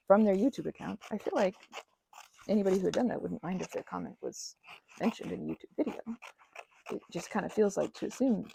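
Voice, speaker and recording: A woman rambling casually as she crafts, talking in a gushing, halting way and often interrupting herself. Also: mid-range voice, gravelly, mellow, husky, poor audio. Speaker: hobby ASMR and roleplay audio creator.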